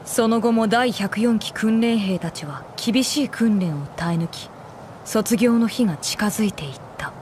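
A woman's voice: fairly high pitch, a little slow, darkish tone, slight background noise, close to an everyday voice. A woman delivering scripted narration for an anime game.

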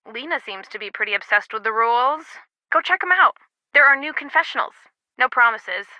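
An actress playing a brisk, wry young woman, a loyal friend and teammate, in a casual video game delivery. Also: on the high side, animated, bright, forceful tone, dry recording, rough recording. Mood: focused, wry.

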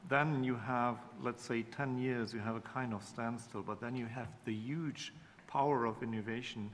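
A man's voice: medium pitch, fairly even intonation, normal speed. A middle-aged man speaking on a conference panel, hesitant and repeating words as he searches for them. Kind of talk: panel discussion. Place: large conference hall. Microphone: table microphone. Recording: reverberant hall, faint room noise.

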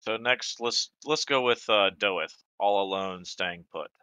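A man casually commenting on a card game, narrating his moves deliberately in short phrases. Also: on the low side, pushed and bright, clear, resonant tone, no room sound. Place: online voice call over a card game. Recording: dry, slightly dull sound.

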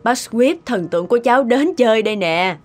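Female actor as elderly lady, gracious, courteous, slightly high pitch, cartoon dub, light music. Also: expressive, a little fast, darkish tone, close to an everyday voice. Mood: kindly. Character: gracious elderly lady, gently teasing grandmother. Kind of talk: animated moral tale dubbing.

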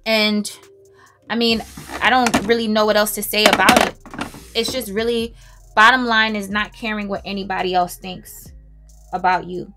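A woman's voice: medium pitch, fairly even intonation, normal speed, pauses often, bright, forceful tone, soft background music. A woman, chatting casually and emphatically while doing her makeup in a home closet. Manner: chatty, emphatic, self-assured, laughs often. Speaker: beauty vlogger. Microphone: camera on a stand, close.

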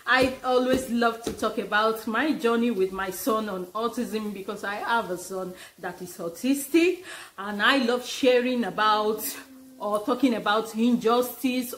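A woman vlogger talks to her camera at a slow, unhurried pace, her voice sitting a little high. English is not her first language, and she laughs often and speaks with lively expression. She is recorded indoors in a living room at desk distance. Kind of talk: casual channel intro to camera.

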